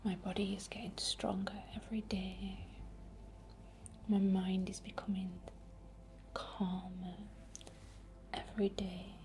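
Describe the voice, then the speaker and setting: A woman with a Northern English accent speaking in a breathy whisper, gentle and unhurried, in the reassuring style of spiritual ASMR. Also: medium pitch, level tone, a little hiss or hum. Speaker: ASMR creator and witch.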